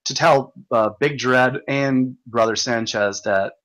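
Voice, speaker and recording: A man hosting a livestream, ranting in a profane, stumbling, repetitive way while reading comments, his voice going hoarse. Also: mid-range voice, slow, fairly bright tone. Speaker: livestream host. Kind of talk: rambling livestream rant.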